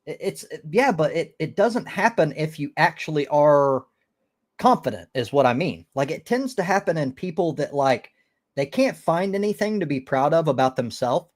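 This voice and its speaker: A man's voice: a little high, lively intonation, moderate pace. An American man in casual debate on a live stream, blunt and opinionated, with some swearing.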